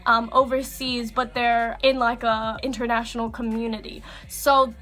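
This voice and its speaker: A young woman talks to her camera in a bright, high voice, relaxed and full of likes and fillers. Her accent is international English, mostly American with a slight Australian tinge. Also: normal speed. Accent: third culture kid English, mostly American with some Australian. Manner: casual and chatty, with fillers and likes. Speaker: vlogger. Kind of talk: sit-down chat to camera.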